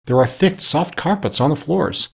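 A man voicing an excited, chatty female duck who gushes breathlessly. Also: on the high side, normal speed, gravelly, breathy edge, voice pushed up. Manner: breathless, gushing.

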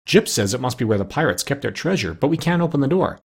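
A man voicing an excited, chatty female duck who gushes breathlessly. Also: mid-range voice, fast, slightly rough. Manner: breathless, gushing.

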